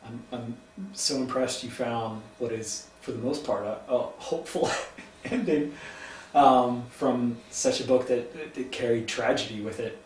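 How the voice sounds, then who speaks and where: A man with an Ohio accent hosting a cooking and book chat, talking in a thoughtful, halting way with many ums. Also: medium pitch, darkish tone, far from the mic. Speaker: host. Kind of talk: casual interview chat. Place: home kitchen. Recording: echoey room, voice off-mic, faint hum.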